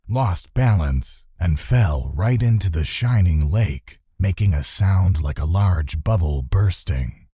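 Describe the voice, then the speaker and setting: A man reading a children's fantasy audiobook as narrator, telling the story in a measured, scripted way. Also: deep voice, warm tone, slightly rough, dry recording, studio quality.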